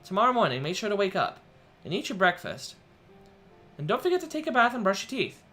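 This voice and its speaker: A woman's voice: mid-range voice, very animated, fast talker, a bit bright, soft background music, voice pushed up. A man voicing a caring, fussy mother who worries over her teenage son. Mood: fussing.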